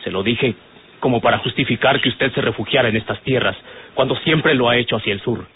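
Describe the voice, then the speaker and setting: A man's voice: mid-range voice, brisk, natural voice. An actor playing an earnest, respectful young man with a Mexican accent, explaining himself politely and carefully in an old radio drama. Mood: earnest, defensive. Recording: noisy, narrow-band old radio recording.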